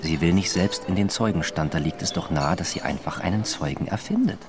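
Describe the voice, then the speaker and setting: An actor in a radio play playing a stern, by-the-book law officer, skeptical and insistent. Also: male voice, low-pitched, fairly quick, a crowd in the background, close to an everyday voice.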